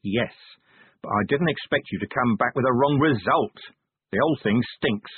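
A man voicing a weary, gruff middle-aged police commander with a sardonic edge. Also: mid-range voice, moderate pace, no room sound, phone-quality sound.

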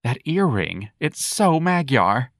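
A man voicing a flamboyant, teasing young man who mocks in a high, gleeful voice. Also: sing-song, slow, fairly bright tone, dry recording, clean studio sound, exaggerated voice. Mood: catty. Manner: campy, mocking, shrieking.

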